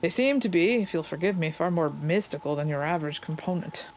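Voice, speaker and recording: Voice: medium pitch, expressive, brisk, a little hiss or hum, close to an everyday voice. A player reading a plainspoken Scottish stable master. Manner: folksy and understated.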